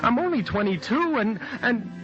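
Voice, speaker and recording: An American actor in his twenties playing an anguished young condemned man in a 1940s radio drama, halting and repeating himself as he pleads his innocence. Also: very high-pitched, sing-song, heavily acted. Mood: pleading, regretful. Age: 20s. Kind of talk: 1940s radio drama acting, first-person narration. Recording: dull old radio recording, loud music.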